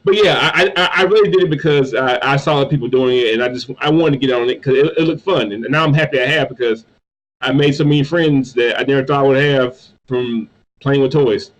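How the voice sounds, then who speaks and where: A man's voice: medium pitch, brisk, low-quality recording. A man from North Carolina, a guest in a casual interview, who often stammers and repeats his words. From North Carolina, USA. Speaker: guest, toy reviewer.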